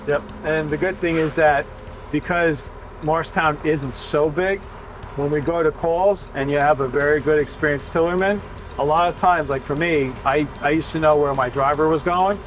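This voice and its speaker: A man with a fairly high voice talks casually as a firefighter explaining the job. He is practical and plain-spoken, with clear engine and street noise around him. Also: steady tone, moderate pace, rough recording. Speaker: firefighter and truck driver. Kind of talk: casual explanatory interview talk.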